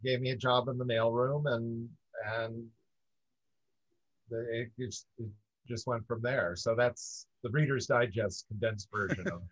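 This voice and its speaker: A man from upstate New York, a guest in a casual interview, talking in an earnest, measured way with light laughs. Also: medium pitch, fairly even intonation, no room sound. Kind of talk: casual video-call interview. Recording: dull muffled dry audio.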